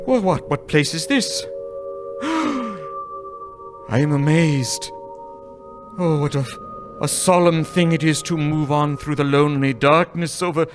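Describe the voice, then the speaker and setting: A man's voice: high-pitched, a bit bright, a little hiss or hum, full character voice. A man playing an old miserly man, stammering and pleading in a live radio play staged in a church. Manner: anxious, stammering, wide-ranging delivery.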